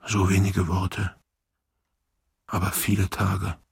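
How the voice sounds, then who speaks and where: A man acting in an experimental radio play, reciting poetic fragments as a weary, hushed figure in a gravelly, husky, halting voice. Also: deep voice, little pitch movement, no room sound, studio quality, full character voice. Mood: slow, brooding. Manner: halting, sparse, word-by-word delivery. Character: weary, slow, hushed male voice echoing fragments of poetry.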